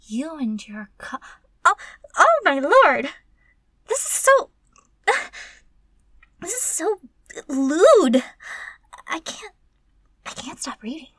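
A woman's voice: high voice, measured pace, fairly bright tone, breathy edge, dry recording, clean studio sound, full character voice. A woman playing a timid, curious, easily flustered female servant in an intimate audio roleplay, murmuring breathily and stammering. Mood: shocked fascination.

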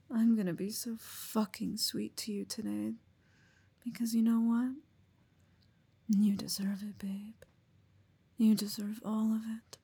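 A woman whispering slowly and teasingly into a close mic, in an affectionate, intimate roleplay. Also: a little high, mellow, breathy edge. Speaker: audio roleplay performer.

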